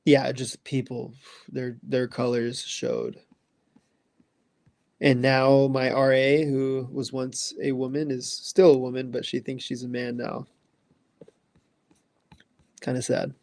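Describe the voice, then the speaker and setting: Casual twenties guy answering chat, gravelly, lots of likes and ums, dead dry sound. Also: mid-range voice, little pitch movement, slow pace, pauses often, darkish tone, no echo. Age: 20s. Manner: loose, rambling, filler-heavy. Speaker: livestream host answering chat.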